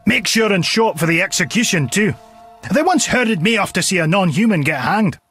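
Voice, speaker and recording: A bitter dwarf townsman from a fantasy game, husky and pitched rather high. He grumbles sarcastically with dark humour, and his pitch swings up and down in a lively way. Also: brisk, soft background music, full character voice. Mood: bitterly sarcastic. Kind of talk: video game dialogue acting.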